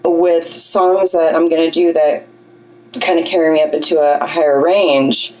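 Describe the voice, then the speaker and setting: A woman with a low voice giving a casual, chatty breathing lesson, often checking in with "okay?". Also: steady tone, normal speed, darkish tone, recorded from a distance, rough recording. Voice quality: low singing voice. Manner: chatty, self-deprecating, coaching with frequent "okay?" checks. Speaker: vlogger teaching breathing. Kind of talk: casual instructional vlog.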